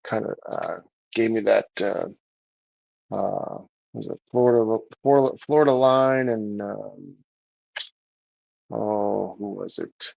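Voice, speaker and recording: A man hosts a music livestream, his voice heavily gravelly with deep vocal fry. He rambles casually, stopping and restarting often. The sound is dry and dull, like lo-fi stream audio. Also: slow pace, long pauses, dry recording. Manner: rambling, with many fillers and restarts. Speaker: livestream show host.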